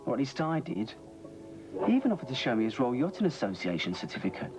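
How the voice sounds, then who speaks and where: A sarcastic, self-pitying British radio DJ, played by a man in a TV drama, his pitch swinging widely as he grumbles. His mid-range voice sits in a scene with loud music playing under the dialogue. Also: big pitch swings, quick pace, natural voice. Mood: rueful. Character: harried male radio DJ, defensive and bitter. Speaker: actor in a TV drama.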